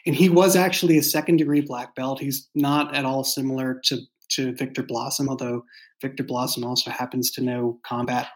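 A man speaking casually in an interview as a writer, thoughtful and halting, often repeating words as he goes. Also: mid-range voice, flat delivery. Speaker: writer and creator of an audio drama. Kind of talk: casual behind-the-scenes interview.

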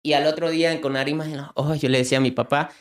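A man's voice: fairly high pitch, fairly even intonation, very fast. A young man from Ecuador, who rescues animals. He tells his story in an earnest, heartfelt way and often repeats words when he is moved. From Ecuador, coastal Manta. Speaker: animal rescuer and content creator. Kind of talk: personal storytelling in an interview.

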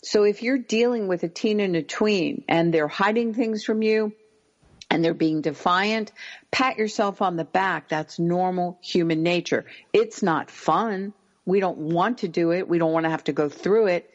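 Brief: middle-aged woman, low calm voice, deliberate advice, dull lo-fi sound. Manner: calm, deliberate, slows words for emphasis. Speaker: parenting expert guest. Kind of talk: advice-giving interview talk.

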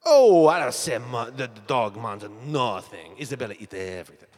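Melodramatic Italian ghost in long reverb. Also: male voice, mid-range voice, very animated, normal speed, a little mellow. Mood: boastful. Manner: broken English, theatrical rambling storytelling. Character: hungry, passionate Italian man's ghost, melodramatic. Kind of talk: improvised comedy character.

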